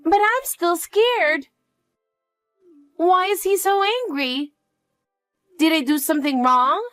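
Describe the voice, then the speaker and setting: A woman's voice: very high voice, animated, slow, fairly bright tone, no room sound, soft background music, pitched above the performer's own voice, heavily acted. A woman voicing an anxious, clingy young girl who pleads and asks question after question in a dramatized story. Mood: scared.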